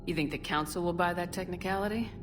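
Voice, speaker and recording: An actress playing a tough military commander in a video game, with a direct, controlled, probing delivery. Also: female voice, on the low side, fast, music in the background, lightly acted. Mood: serious, probing.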